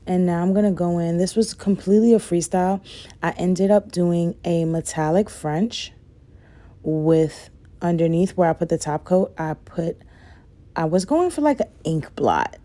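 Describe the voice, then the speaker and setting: Young woman, low voice, mellow tone, raspy from a cold, rambling casual chat. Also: moderate pace. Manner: rambling, says "like" and "guys" a lot. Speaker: nail vlogger. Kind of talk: casual chatty tutorial voice-over.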